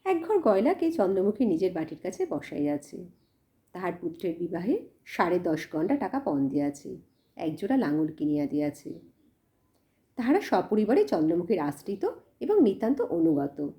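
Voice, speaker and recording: A woman narrating a literary story in an expressive, measured storytelling manner. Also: lowish voice. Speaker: audiobook narrator.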